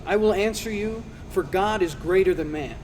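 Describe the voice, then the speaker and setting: A man plays an angry young man in a Bible drama. The male voice is very high and declaims forcefully at his elders, with long hall reverb around it. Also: moderate pace, lightly acted. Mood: indignant. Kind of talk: dramatized scripture recitation. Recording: long hall reverb, faint background noise.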